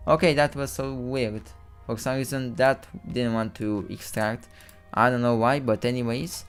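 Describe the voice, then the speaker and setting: Man, Romanian accent young streamer, smooth clear voice, rambling stumbling commentary, faint music bed. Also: medium pitch, steady tone, moderate pace. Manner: rambling, restarts and stumbles over words. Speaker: live-stream host. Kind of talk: casual live-stream commentary.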